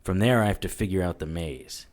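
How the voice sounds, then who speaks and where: A man's voice: low-pitched, a little fast, darkish tone, lightly acted. A man playing a world-weary, wry investigator, narrating a noir audio drama in the first person. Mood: measured. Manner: dry, matter-of-fact, wry.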